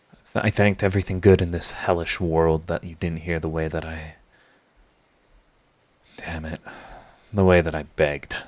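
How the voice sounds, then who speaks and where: A man acting a sardonic supervillain secretly devoted to his rival, in an intimate, theatrical audio roleplay monologue with halting confessions. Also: low voice, natural voice. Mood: anguished, confessional.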